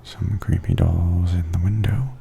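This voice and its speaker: A man giving softly spoken ASMR tour commentary in a breathy half-whisper, calmly and gently describing things as a host. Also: low-pitched. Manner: calm, unhurried, gently descriptive.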